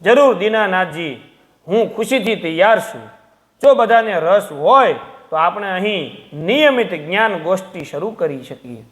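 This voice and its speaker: A man's voice: high voice, lively intonation, unhurried, fairly bright tone, big-room sound. A man voicing a dignified, consoling old retired teacher in a moral story, speaking earnestly and encouragingly. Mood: glad.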